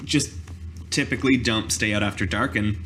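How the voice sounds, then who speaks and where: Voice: mid-range voice, fairly even intonation, normal speed, fairly bright tone, lightly acted. Game master playing a friendly villager in a tabletop game, breezy and dismissive, faint noise behind. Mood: reassuring.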